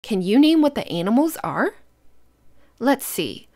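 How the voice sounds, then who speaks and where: A woman's voice: on the high side, big pitch swings, measured pace, a little mellow. A woman hosting a children's read-aloud, using simple instructive phrasing and putting questions to young listeners. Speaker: host reading picture books to children.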